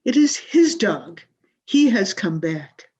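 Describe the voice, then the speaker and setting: Through a dull video-call recording comes a grandiose female drama coach, acted by a woman. She drags out her emphatic instructions in a theatrical radio-comedy manner, with a husky voice that swings widely in pitch. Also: very animated, darkish tone, full character voice. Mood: brisk, instructive. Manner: theatrical, emphatic, drawn-out delivery. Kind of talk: 1940s radio comedy acting.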